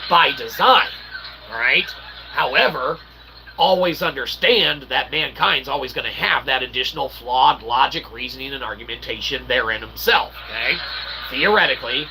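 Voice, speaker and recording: A man speaks in a slightly high voice with heavy fry running through it. He rambles like an amateur philosopher, stacking up wordy, mock-formal phrases and punctuating them with little check-in tags. Clear noise sits behind him. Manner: verbose, mock-formal wording with constant "okay?" and "all right?" tags. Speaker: independent researcher and channel host. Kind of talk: rambling philosophical monologue to camera.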